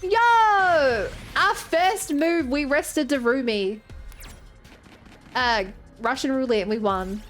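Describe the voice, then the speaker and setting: A woman in her twenties commentating a game stream, rambling through jokes and stories aimed at her chat. Her voice sits a little high. Faint game music plays behind her. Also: slow pace, fairly bright tone. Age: 20s. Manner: rambling, joking, talks to chat. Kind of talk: casual gameplay commentary.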